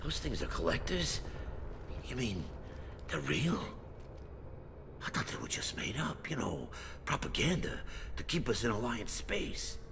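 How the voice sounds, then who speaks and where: An actor playing a rattled, resentful colony mechanic in a video game, suspicious and grumbling. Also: male voice, mid-range voice, big pitch swings, a little slow, vocal fry, big-room sound, noisy background. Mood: shocked, frightened. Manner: grumbling, agitated.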